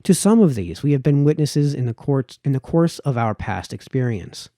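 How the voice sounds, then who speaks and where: A man reading a formal essay aloud as an audiobook, with measured, emphatic phrasing that falls away at sentence ends. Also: mid-range voice, animated, mellow, no room sound, clean studio sound. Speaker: amateur audiobook reader.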